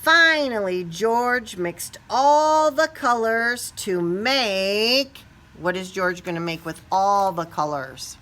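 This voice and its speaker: Lady reading a kids book out loud, slow n bright, really expressive, draws words out. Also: mid-range voice, slight background noise. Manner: expressive, stretches key words, asks listeners questions. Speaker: storybook reader. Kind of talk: animated children's picture book read-aloud.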